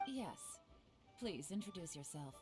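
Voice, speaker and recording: An actress playing a composed, authoritative senior official in a game, formal, measured and assured. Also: female voice, fairly low pitch, big pitch swings. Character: composed, authoritative senior female official, businesslike, slyly discreet. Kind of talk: English game dub acting. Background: game music.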